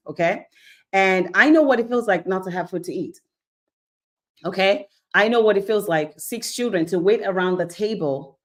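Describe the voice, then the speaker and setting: A woman from Nigeria giving a sermon-style Bible teaching, asking rhetorical questions, acting out little scenes and checking in with "okay?". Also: mid-range voice. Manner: animated, keeps checking in with "okay?".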